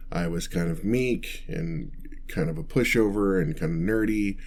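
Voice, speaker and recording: Male actor as awkward nerdy vampire, low voice, slow halting delivery, apologetic, rambling, ASMR roleplay. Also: creaky, darkish tone, heavily acted. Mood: sheepish. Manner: hesitant, stammering, apologetic and rambling.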